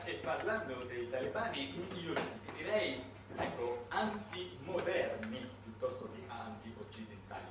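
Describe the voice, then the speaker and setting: Man, theatrical narration, dramatic pauses, distant microphone, strong room echo, noticeable noise. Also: mid-range voice. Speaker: stage actor and narrator. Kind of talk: theatrical narration in a solo stage monologue. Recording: echoey noisy hall, distant microphone.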